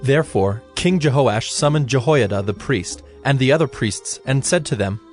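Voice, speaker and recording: A man's voice: mid-range voice, lively intonation, moderate pace. Solemn male scripture narrator over faint music.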